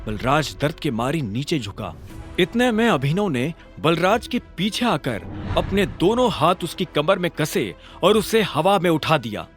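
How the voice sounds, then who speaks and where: A male narrator tells a dramatic scripted story in a fairly high voice. His delivery swings widely in pitch, and music plays behind him. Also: sing-song, unhurried.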